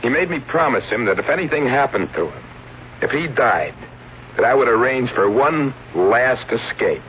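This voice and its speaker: An actor playing a wry, regretful publicity agent confessing to a detective in an old-time radio drama. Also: male voice, mid-range voice, lively intonation, moderate pace, lightly acted. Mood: wry, confessional. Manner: colloquial, dramatic. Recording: muffled vintage radio recording, faint hiss.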